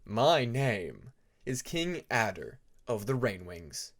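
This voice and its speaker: A man voicing a composed, unimpressed teenage dragon king with a measured, smug manner in an audiobook story. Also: on the low side, very animated, heavily acted. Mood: smug composure. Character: calm, unimpressed fifteen-year-old male dragon king. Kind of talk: fan fiction audiobook character voice.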